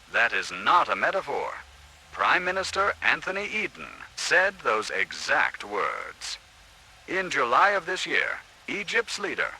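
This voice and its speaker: Animated, bright-voiced man, radio news commentator. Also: fairly high pitch, slight background noise, low-quality recording.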